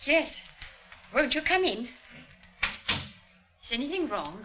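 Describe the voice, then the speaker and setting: High-voiced, polite landlady. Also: sing-song, takes it slowly, sharp tone, faint music behind, full character voice. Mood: polite, uneasy. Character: polite, anxious landlady and mother. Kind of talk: 1940s radio acting. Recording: noisy old radio recording.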